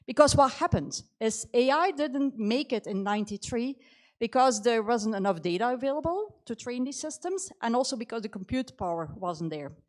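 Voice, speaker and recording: A woman's voice: on the high side, animated, moderate pace. A middle-aged woman speaking English with a Belgian accent and a slight New Zealand tinge, giving a conversational career talk full of "you know". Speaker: conference speaker. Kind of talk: motivational talk about her career.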